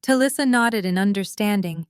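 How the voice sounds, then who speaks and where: A woman's voice: mid-range voice, moderate pace, clean tone, no room sound, clean studio sound. A woman reading scripted story narration in the third person.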